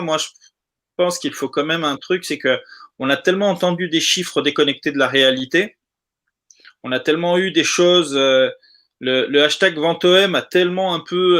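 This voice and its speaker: A man's voice: fairly high pitch, fairly bright tone. A man from South America, a native Spanish speaker, talking as a supporter in a casual football debate. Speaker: football supporter, guest on a live fan debate.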